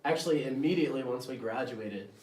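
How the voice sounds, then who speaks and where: A man's voice: medium pitch, quick pace, a little hiss or hum. A man in his early twenties with an American accent, a band member chatting casually on a podcast and acting out quotes as he tells stories. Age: 20s. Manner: animated storyteller, acts out quotes. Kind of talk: casual chat. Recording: roomy, distant mic.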